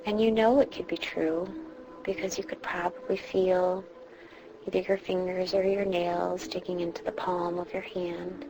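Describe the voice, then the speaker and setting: A woman leading a guided meditation in a soothing, reassuring manner, with drawn-out pauses between phrases. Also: fairly low pitch, level tone. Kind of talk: guided meditation, instructing a listener. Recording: clearly noisy background ambience.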